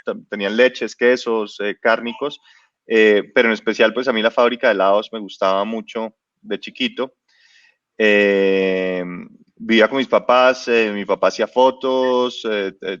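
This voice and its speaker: Low-voiced man, halting storytelling. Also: fairly bright tone, dry recording, lo-fi sound. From born in Spain, raised in Ecuador and Colombia. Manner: hesitant, many fillers and restarts. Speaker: guest entrepreneur. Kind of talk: casual interview storytelling.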